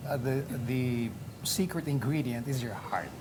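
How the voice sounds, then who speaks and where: A man answers interview questions about making an audio drama, taking his time and stopping to rephrase. His voice sits at a middling pitch. Noticeable background noise hums under him. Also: a little slow. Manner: explains carefully, with many fillers and restarts. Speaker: audio drama producer guest.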